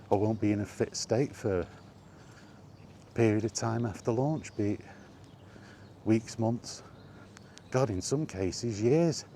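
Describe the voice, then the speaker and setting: A man's voice: on the low side, mellow, slight background noise. A man talking off the cuff in an exasperated gaming rant, emphatic and full of rhetorical questions. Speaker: solo video game vlogger.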